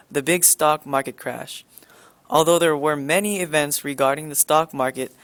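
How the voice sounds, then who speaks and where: A young man reading a school-project news report from a script in a steady way. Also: on the high side, dry recording. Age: teens.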